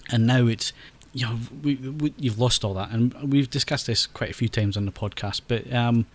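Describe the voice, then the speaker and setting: A Scottish man hosts a relaxed podcast in a chatty, easygoing way. His voice sits at an ordinary middle pitch. He laughs often and trips over repeated words as he talks. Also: moderate pace. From Scotland. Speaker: podcast host. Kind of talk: casual podcast chat.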